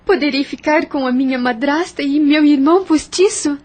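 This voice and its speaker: A woman's voice: high voice, moderate pace, darkish tone, close to an everyday voice. A woman playing an earnest, resolute young heiress in an audio novel, speaking formally with a Brazilian accent. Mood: grateful, polite.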